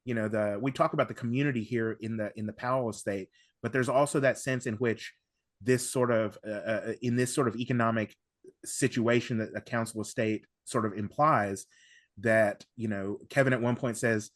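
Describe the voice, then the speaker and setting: A man guesting on a podcast, discussing things analytically in a wordy, halting way, often circling back to rephrase. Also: medium pitch, steady tone, no echo, clean studio sound.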